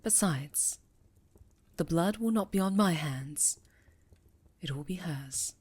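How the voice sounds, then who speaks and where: A woman playing a stern, commanding witch who leads a coven, speaking formally and with measured authority in an ASMR fantasy roleplay. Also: on the low side, lively intonation, a little mellow, slightly rough, heavily acted. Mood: firm. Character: stern, commanding female high enchantress leading a witch coven.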